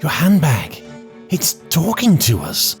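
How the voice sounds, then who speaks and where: A man voicing a quick, exasperated young woman companion in an audiobook. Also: lowish voice, sing-song, mellow, faint music behind, pitched above the performer's own voice. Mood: startled.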